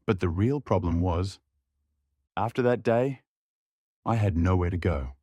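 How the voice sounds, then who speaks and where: A young man narrates a scripted audio drama in a subdued, reflective inner voice. His pitch sits a little low, and the recording is close and dry. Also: unhurried, mellow, no room sound.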